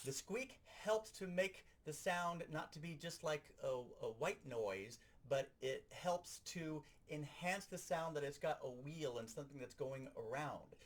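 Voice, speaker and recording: Animated, higher-voiced man giving a hands-on demo. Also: measured pace, hardly any pauses, a little hiss or hum. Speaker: sound effects instructor. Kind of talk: hands-on instructional demonstration.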